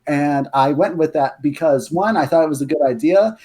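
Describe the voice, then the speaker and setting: A young man with a deep voice chats as a podcast guest. He tells his stories eagerly, stumbling over restarts and fillers along the way. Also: moderate pace, darkish tone. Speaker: guest, lore writer. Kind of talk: casual podcast chat.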